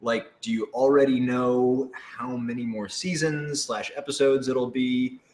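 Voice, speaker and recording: A man's voice: medium pitch, steady tone, unhurried, darkish tone. A young American man, a voice actor, chatting casually and telling stories in a wry, joking, rambling way. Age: young adult. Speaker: voice actor on a radio drama. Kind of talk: casual chat, storytelling. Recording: echoey room with audible noise.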